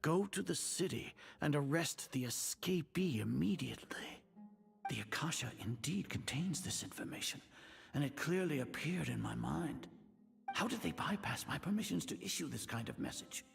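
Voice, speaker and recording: A man's voice: fairly high pitch, expressive, moderate pace, creaky, faint music behind. An actor playing an imperious, suspicious older male grand sage in a video game, speaking formally and commandingly as he grows rattled. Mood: brooding, puzzled. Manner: commanding, formal, questioning himself aloud.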